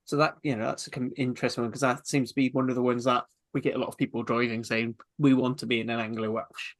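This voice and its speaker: A man's voice: medium pitch, level tone, fast talker. A man co-hosting a podcast in casual rugby chat, going through his points methodically. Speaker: podcast co-host.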